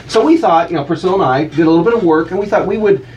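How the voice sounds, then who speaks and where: Animated teasing man on radio. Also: sits high, very fast, distant mic, dull audio, a little hiss or hum. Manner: teasing, animated, breaks into chanted cheers. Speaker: guest co-host. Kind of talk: playful radio banter.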